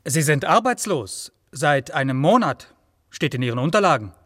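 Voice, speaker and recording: An actor playing a sceptical, persistent official in a radio play, questioning in a terse and blunt way. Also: male voice, fairly high pitch, big pitch swings, normal speed, fairly bright tone, dry recording, clean studio sound. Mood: sceptical, probing. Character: sceptical male official interviewing a job applicant, persistent. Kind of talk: naturalistic radio play dialogue.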